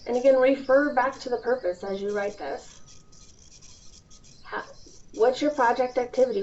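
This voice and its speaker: A woman's voice: fairly high pitch, darkish tone. A woman giving an informal, explanatory instructional presentation over a conference phone call, stressing key points as a program presenter. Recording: dull, lo-fi phone call with background hiss.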